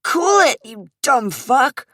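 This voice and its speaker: A woman's voice: low-pitched, lively intonation, measured pace, a bit bright, dry recording, studio quality. A woman doing a snarling brute of a man. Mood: menacing. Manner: snarling, barking orders. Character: big brutal male attacker, bullying.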